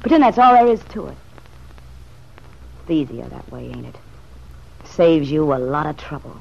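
A woman's voice: low-pitched, sing-song, normal speed, creaky, full character voice. An actress in an old radio drama playing a world-weary, slangy, street-wise woman who speaks with raw emotion. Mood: hurt, defiant. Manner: slangy, emotional, hushed then flaring. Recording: dull, noisy vintage radio recording.

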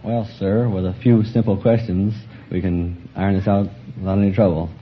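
A man in his twenties with a very low voice answers as a quiz contestant, polite and matter-of-fact. It is a dull old radio recording with faint noise. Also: little pitch movement, moderate pace. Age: 20s. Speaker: police officer, quiz contestant.

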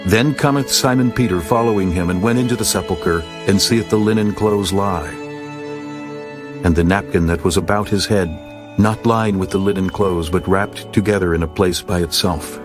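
Deep-voiced man narrating scripture. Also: normal speed. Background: soft music.

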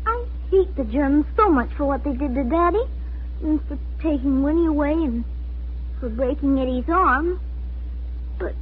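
A woman's voice: high voice, lively intonation, normal speed. A woman playing an earnest, impressionable eleven-year-old girl in a 1940s radio drama, with a childlike, emotional delivery. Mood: troubled, confused. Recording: scratchy, tinny 1940s radio recording.

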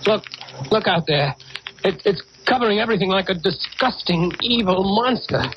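A man's voice: high-pitched, expressive, normal speed. An actor playing a frightened, desperate man in 1940s radio drama, anxious and melodramatic. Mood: fearful, pleading. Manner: anxious, melodramatic, repeating words. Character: frightened, desperate man dreading his death. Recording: noisy, dull old radio recording.

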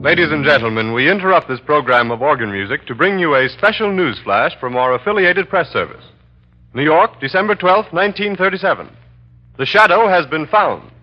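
Old timey radio announcer guy, scripted and punchy, raspy, kinda dull muffled sound. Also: fairly high pitch, expressive, brisk. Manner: emphatic, formal. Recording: muffled 1930s radio recording.

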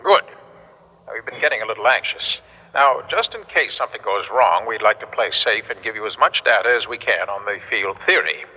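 A man's voice: fairly low pitch, expressive, brisk, husky. An actor playing a courteous, earnest, mysterious man in a 1960s radio drama, polite, businesslike and reassuring. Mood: courteous, anxious. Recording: muffled vintage radio, long hollow reverb.

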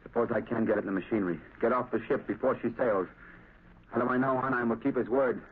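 An actor playing a frightened, weak-willed saboteur, nervous and stammering, in 1930s radio style. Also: male voice, medium pitch, fast talker, lightly acted. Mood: resigned, bitter. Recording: noisy, narrow 1930s radio recording.

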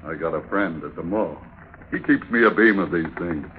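A man's voice: sits low, normal speed, close to an everyday voice. An actor, a man, playing a cool, needling sailor after a berth, slangy and unruffled in an old radio drama. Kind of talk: 1940s-50s American radio acting. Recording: muffled, noisy old radio recording.

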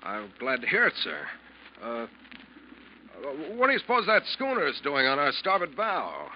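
An actor playing a sardonic, insistent ship's officer who probes and accuses, in an old-time radio drama. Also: male voice, a little high, huge pitch swings, moderate pace, slight background noise. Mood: probing. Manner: probing, sarcastic, insistent. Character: accusing, sardonic male ship's officer confronting his captain. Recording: muffled vintage radio drama recording.

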